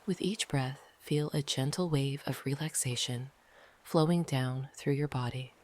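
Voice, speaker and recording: A meditation narrator speaks in a soft, breathy voice that often slips toward a whisper. The delivery is calm, gentle and unhurried, with long pauses between phrases. Faint noisy ambience sits behind the voice. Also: mid-range voice. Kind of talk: slow, soothing guided meditation narration.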